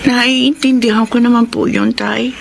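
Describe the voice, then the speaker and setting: A homesick young Filipina wife and mother. Her voice is high and plaintive, apologetic but defensive, in radio drama style. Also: steady tone, measured pace, creaky, a little hiss or hum. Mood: weary, meek. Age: young adult. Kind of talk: Tagalog radio drama acting.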